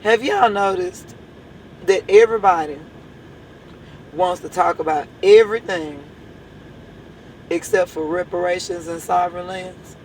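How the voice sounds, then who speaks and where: A hoarse woman, a church leader giving an impassioned address full of repeated rhetorical questions. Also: fairly low pitch, measured pace, pauses often, darkish tone, slight background noise, poor audio.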